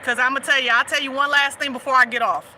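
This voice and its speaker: A woman's voice: high-pitched, steady tone, fast talker, bright, forceful tone, slight background noise. A woman with a Southern US accent hosting a casual chat, laughing often and teasing her audience. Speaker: host.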